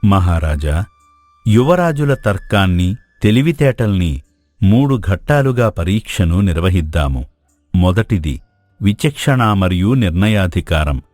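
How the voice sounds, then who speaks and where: A man playing a wise, courteous royal guru in a storybook drama, speaking in a measured, formal, philosophical way. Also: sits low, big pitch swings, a little mellow, dry recording, faint music behind. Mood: solemn, advising.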